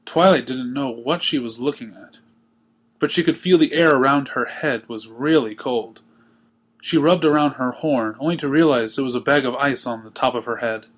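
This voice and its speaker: A man reading a story aloud in expressive storybook narration. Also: medium pitch, moderate pace. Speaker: fan fiction reader.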